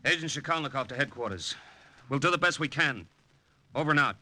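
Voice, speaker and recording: An actor playing an earnest American army captain and secret agent, steady and plainspoken, in a 1950s radio drama. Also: male voice, medium pitch, fairly quick. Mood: crisp, official. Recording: old radio recording, faint noise.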